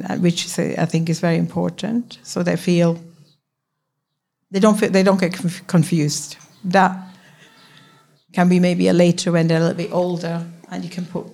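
Middle-aged Swiss-born head teacher, woman, Swiss German native; low, husky-gravelly voice, easygoing talk. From Switzerland. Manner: chatty, restarts, soft laughs. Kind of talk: casual interview chat.

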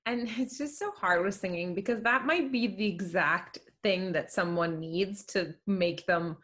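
A woman's voice: medium pitch, a little slow, a bit bright. A woman hosting a podcast, explaining things and giving advice in a casual chat. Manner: advising, explanatory. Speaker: podcast host.

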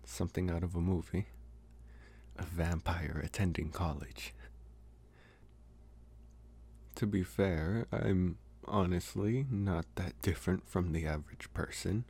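A man playing a smitten young college vampire in an intimate, hesitant, soft-spoken ASMR roleplay. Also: low-pitched, breathy edge, exaggerated voice. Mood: amused, casual. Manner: hesitant, soft-spoken, slow with long pauses. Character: young male college vampire, smitten, calm, gently possessive. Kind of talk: ASMR roleplay, intimate one-sided dialogue.

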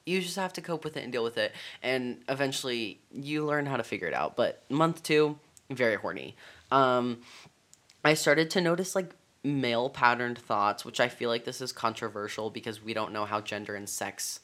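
A man's voice: medium pitch, moderate pace, pushed and bright. A young man talking candidly in a casual vlog, chatty and full of fillers. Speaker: vlogger.

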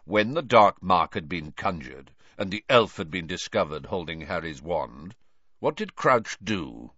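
A man's voice: low-pitched, very animated, bright, forceful tone, exaggerated voice. A man voicing a shrewd, brooding grown man with a probing manner in an audiobook story. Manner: probing, deliberate. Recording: dull lo-fi audio, roomy echo.

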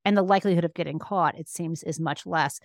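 A woman's voice: lowish voice, fast talker, dry recording, clean studio sound. A woman answering as an interview guest in a conversational, explanatory way, restarting phrases as she thinks. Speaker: interview guest, law professor and author.

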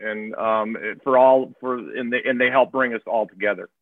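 Male guest on a dry, phone-like remote line, halting and full of fillers. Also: medium pitch, fairly even intonation, no echo. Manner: hesitant, halting, heavy on fillers. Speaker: guest guitarist. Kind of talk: casual interview chat.

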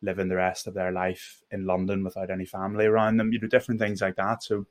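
Low, even-toned Belfast man. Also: fast. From Northern Ireland. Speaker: podcast guest, mental health first aid instructor. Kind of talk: reflective conversational interview.

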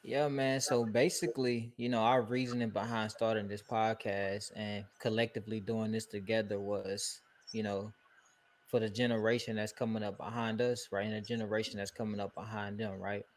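A man's voice: fairly low pitch, level tone, moderate pace, a little hiss or hum. A young man from Houston co-hosting a casual podcast, talking in a laid-back way full of filler phrases. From Houston, Texas. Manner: laid-back, peppers talk with "you know" and "bro". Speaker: podcast co-host.

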